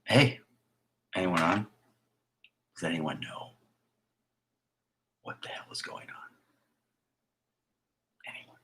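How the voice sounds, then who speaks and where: A man with a raspy voice hosting a casual live stream as a filmmaker, rambling in a chatty way and sometimes dropping to a whisper. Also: low-pitched, slow, pauses often. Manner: hushed at times, drifting into whispers; chatty, with fillers and restarts.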